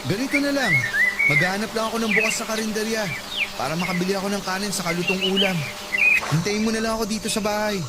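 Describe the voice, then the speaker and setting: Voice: medium pitch, quick pace, very noisy, natural voice. An actor playing a hurt, insistent live-in boyfriend in a radio drama, speaking earnestly. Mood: placating, pleading. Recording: music under the voice.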